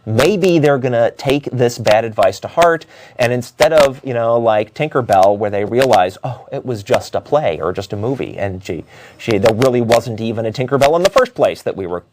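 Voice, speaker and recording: A man giving unscripted opinion commentary as a talk show host, arguing in a halting way with frequent self-corrections. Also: medium pitch, animated, noisy background.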